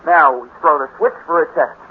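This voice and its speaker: A man's voice: on the high side, fairly quick, lightly acted. An actor playing a cocky, scheming teenage boy with slangy, confident know-it-all delivery in a 1950s radio drama. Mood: cocky, eager. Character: cocky, scheming teenage boy, space-age hot-rodder. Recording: scratchy, tinny 1950s radio recording with hiss.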